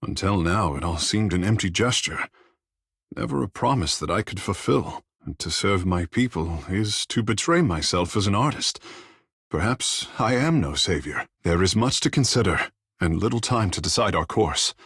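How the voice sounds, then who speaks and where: Game role: young, self-regarding demigod artist; low husky voice, grand formal phrasing, close dry sound. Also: male voice, animated, moderate pace, a bit bright, no echo, heavily acted. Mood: earnest, reflective. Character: vain, theatrical young male demigod water shaper and artist. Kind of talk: video game character dialogue.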